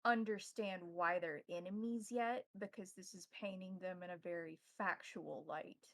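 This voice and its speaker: A woman running a tabletop roleplaying game as game master, narrating in a measured, formal way with deliberate wording. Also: fairly low pitch, fairly even intonation, a bit bright, dry recording.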